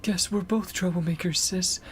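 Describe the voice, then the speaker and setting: A woman playing a desperate, loyal young warrior in a dramatic game scene, with a breathy voice. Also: fairly low pitch, steady tone, normal speed, a little hiss or hum, lightly acted. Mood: defiant, grieving.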